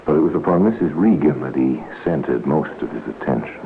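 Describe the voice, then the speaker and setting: A tinny vintage radio recording with faint noise. A man with an extremely low voice narrates a crime drama. His delivery is grave and wry, with deliberate, dramatic phrasing. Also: expressive.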